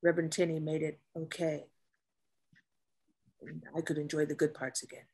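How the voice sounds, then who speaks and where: Woman acting a butch navy officer lady in a drama read, guarded and wry, keeps it dry and measured. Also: sits low, level tone, mellow, breathy edge. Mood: reflective. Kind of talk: table read of a dramatic script.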